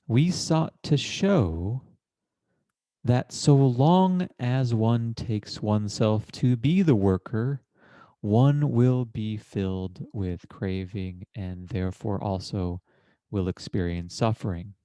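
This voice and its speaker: A man with a fairly low, dark, relaxed voice guides a meditation at an extremely slow pace. His phrasing is formal and literary, delivered deliberately in short pieces with long pauses. The sound is close and dry. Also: no echo.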